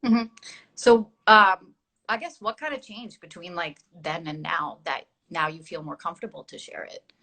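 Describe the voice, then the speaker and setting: A woman's voice: on the low side, moderate pace, pushed and bright. A young adult woman hosting a casual online live chat as a dance teacher, chatty and full of fillers, laughing at herself now and then.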